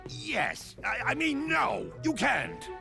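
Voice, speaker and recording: A man voicing a flustered, overprotective old father in a video game cutscene, with a gravelly voice and slow, halting delivery. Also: very high voice, very animated, heavily acted. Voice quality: creaky, gravelly voice. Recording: loud music under the voice. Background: music.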